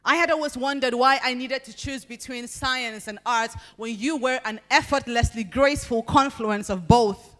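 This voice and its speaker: A woman's voice: on the high side, faint music behind. Young Nigerian woman reciting spoken word. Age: young adult. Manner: measured, expressive recitation with deliberate pauses. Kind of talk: spoken word poetry performance. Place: large banquet hall at a podium. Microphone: handheld microphone.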